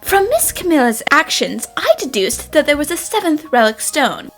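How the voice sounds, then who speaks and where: An eager, clever boy assistant detective from a cartoon fan dub, voiced by a woman. The voice is high and swoops expressively, with an earnest, excitable way of explaining, over background music. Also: normal speed, exaggerated voice. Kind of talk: animated fan dub dialogue.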